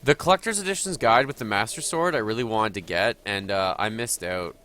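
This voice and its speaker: A man streams a game live and talks through each move as he plays it. He is sniffly from a cold. His voice is bright and forward, sitting fairly low. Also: a little fast. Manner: chatty, thinking aloud while playing. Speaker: gaming streamer.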